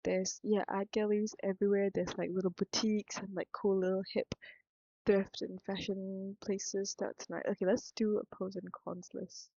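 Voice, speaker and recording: Young Singaporean woman, low fry-heavy voice, meandering frank vlogger, dry lo-fi mic. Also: level tone, moderate pace, mellow, dry recording. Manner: meandering, thinks aloud, self-interrupting, frank. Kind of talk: rambling casual vlog monologue.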